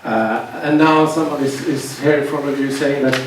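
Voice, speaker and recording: Man, middle-aged, guest lecturer; halting, restart-heavy delivery, echoey hall, faint hiss. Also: mid-range voice. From Finland. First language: Swedish. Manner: hesitant, many restarts and repeated words. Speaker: guest speaker, university lecturer. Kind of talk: seminar talk to students. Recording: echoey hall, distant mic, faint noise.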